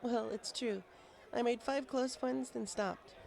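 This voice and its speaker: A man's voice: extremely high pitch, measured pace, creaky, a little mellow, voice pushed up, full character voice. A man voicing a studious, polite young female pony with a precise, bookish manner in a storybook reading. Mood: tipsy, confiding.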